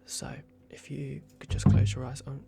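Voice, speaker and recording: A man voicing a playful, fussy vampire doctor in an ASMR roleplay, murmuring halting reassurances in a breathy whisper. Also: mid-range voice, monotone, music in the background. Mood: gently attentive. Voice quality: breathy whisper with gravelly creak.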